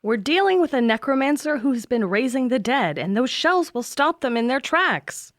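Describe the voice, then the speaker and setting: A woman's voice: sits high, very animated, moderate pace, no room sound. A woman playing a no-nonsense young monster hunter in a comedic audio drama, firm and businesslike. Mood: firm, patient.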